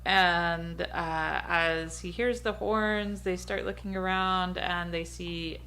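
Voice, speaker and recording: Husky, gravelly-voiced woman co-host. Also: fairly low pitch, steady tone, takes it slowly, sharp tone. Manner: chatty, laughs often, many fillers. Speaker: podcast co-host. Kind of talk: casual chat recapping a TV episode.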